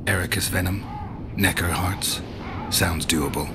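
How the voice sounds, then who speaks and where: An actor playing a gruff, wary mercenary monster hunter in a video game, terse and deadpan. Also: male voice, sits low, measured pace, background noise. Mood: dry, guarded.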